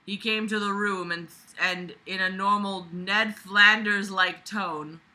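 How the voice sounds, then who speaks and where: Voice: mid-range voice, steady tone, takes it slowly, sharp tone, low-quality recording. A reader going through a book aloud with sarcastic running commentary, exasperated, blunt and prone to swearing.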